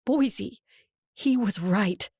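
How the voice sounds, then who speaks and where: A vampire ruler with an earnest, stubborn streak. Her mid-pitched voice carries idealistic conviction in audio drama style. The recording is dry and intimate. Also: very slow, darkish tone, slightly rough, dry recording, full character voice. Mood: impassioned resolve.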